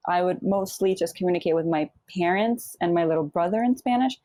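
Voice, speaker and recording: A young woman from Puerto Rico speaks with a lowish, mellow voice in a relaxed interview. She thinks aloud and sometimes stops to look for a word. The sound is close and dry, with no room around it. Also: fairly quick, no room sound. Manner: reflective, laughs often, searches for words. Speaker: author guest. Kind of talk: casual interview conversation.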